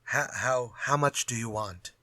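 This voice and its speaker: Bright, flippant radio-play writer. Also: male voice, mid-range voice, unhurried, dry recording, clean studio sound. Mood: indignant. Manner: flippant, old-fashioned phrasing. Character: glib, unafraid male writer sparring with his villain. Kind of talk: retro radio drama acting.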